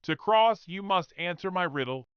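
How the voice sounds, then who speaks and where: A man voicing a regal talking otter with a measured, formal storybook manner. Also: high-pitched, expressive, smooth, no echo, lo-fi sound, pitched above the performer's own voice. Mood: challenging. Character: regal talking river otter posing a riddle.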